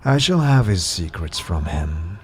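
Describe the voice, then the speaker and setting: An actor playing an imperious, menacing and cunning sorcerer in a fantasy audio drama, with haughty theatrical delivery. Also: male voice, low-pitched, big pitch swings, unhurried, darkish tone, heavily acted. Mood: cold menace. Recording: music behind the voice.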